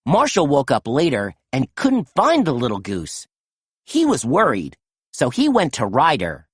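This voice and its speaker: A woman narrating a children's story as an animated read-aloud storyteller. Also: a bass voice, a little slow, husky, dry recording, studio quality.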